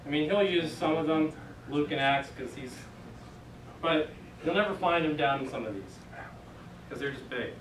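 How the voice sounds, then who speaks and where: A man's voice: a little high, moderate pace, long pauses. Casual young man teaching Greek class. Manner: casual, conversational, many fillers. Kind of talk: classroom lecture. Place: church meeting room. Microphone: far camera. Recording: echoey room from far off, noisy.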